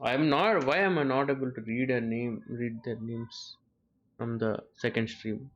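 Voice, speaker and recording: A man's voice: mid-range voice, steady tone, a little slow, lo-fi sound. A man, chatting casually with viewers as he streams a game, often repeating short phrases. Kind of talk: casual live gameplay chat with viewers.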